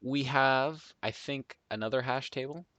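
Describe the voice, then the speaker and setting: A man's voice: mid-range voice, measured pace, pushed and bright, lo-fi sound, slight background noise. A man hosting a live coding stream, chatting casually and answering viewer comments in a friendly, rambling way. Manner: chatty, friendly, with fillers and self-repeats.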